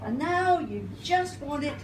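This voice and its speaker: Older lady doing spoken word poetry, slow n wry, kinda theatrical, far from mic in a roomy noisy space. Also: sits high, expressive. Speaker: performance poet and singer. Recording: roomy, noisy live recording, distant mic.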